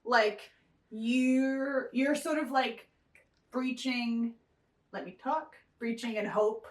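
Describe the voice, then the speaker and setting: A woman's voice: fairly high pitch, takes it slowly. A woman bantering casually and jokingly as she directs an amateur web series, often giggly. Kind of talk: casual behind-the-scenes banter. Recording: echoey, distant microphone.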